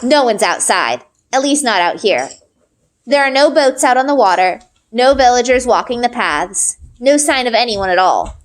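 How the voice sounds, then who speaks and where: A woman's voice: a little high, animated, moderate pace. A woman reading a story aloud expressively, as a teacher would, acting out the dialogue.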